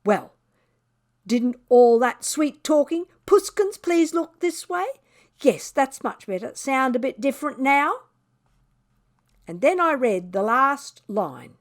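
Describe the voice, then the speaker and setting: A woman's voice: high voice, full character voice. A woman voicing a vain, grumbling pet cat telling its story in a children's book, with dramatic, sardonic emphasis. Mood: indignant, then shocked, grim.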